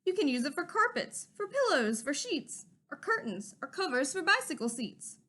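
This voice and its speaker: A greedy storybook businessman brags and pitches his wares in a high, lively voice, as a woman reading aloud puts him on. He sounds brash and salesy, eager to talk up what he sells. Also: moderate pace, heavily acted. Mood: boastful. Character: greedy boastful male businessman.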